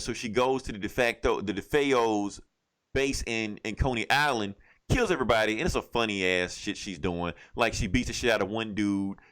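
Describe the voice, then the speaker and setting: Low-voiced man rambling through a casual recap. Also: fairly bright tone, clear, resonant tone. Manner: rambling, irreverent, slangy retelling. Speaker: podcast co-host. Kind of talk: casual recap of a comic book, profane banter.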